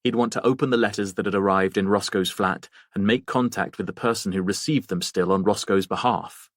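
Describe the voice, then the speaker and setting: A man's voice: on the low side, fairly quick, no echo, clean studio sound. A man narrating a mystery novel as a scripted audiobook reading.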